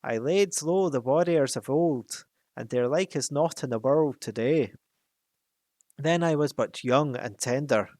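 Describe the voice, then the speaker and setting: A man voicing a huge, boastful, wicked old male dragon in a storybook reading, with a slow, gloating, menacing delivery. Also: mid-range voice, darkish tone, no echo, close to an everyday voice.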